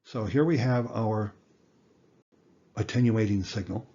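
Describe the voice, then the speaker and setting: Low, husky man teaching, slightly muffled. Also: a little slow, warm tone. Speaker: instructor, amateur radio teacher. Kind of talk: informal explanatory lesson.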